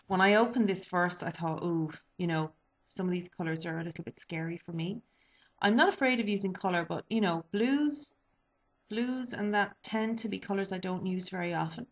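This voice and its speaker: A woman talks through her favourite products in a loose, rambling way, full of ums and restarts. Her voice sits a little low and is slightly stuffy from a cold. The sound is dull and lo-fi, picked up by a webcam mic in a small bedroom. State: sniffly with a cold. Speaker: beauty vlogger. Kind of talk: casual product review. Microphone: webcam built-in mic.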